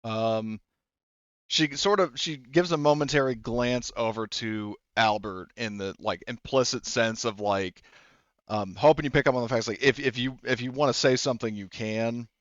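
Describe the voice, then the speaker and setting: A man's voice: mid-range voice, moderate pace, fairly bright tone, no room sound, highs cut off. A man narrating a tabletop game as game master in a casual, rambling, explanatory way.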